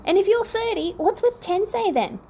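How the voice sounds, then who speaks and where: A woman voicing a cheeky, stubborn young male hero in a story reading, lively and argumentative. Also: extremely high pitch, normal speed, vocal fry, slight background noise, voice pushed up, full character voice. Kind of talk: dramatic fan fiction reading.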